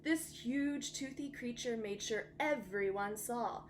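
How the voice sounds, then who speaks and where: A woman teacher reading a children's bedtime story aloud with expressive, dramatic emphasis. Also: fairly high pitch, slow pace, a little hiss or hum. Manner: animated, dramatic emphasis for young listeners.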